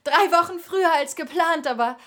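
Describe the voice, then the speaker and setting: A woman's voice: very high voice, moderate pace, fairly bright tone. An actress in a radio play voicing a matter-of-fact new mother in her late twenties. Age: 20s. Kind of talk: radio drama monologue.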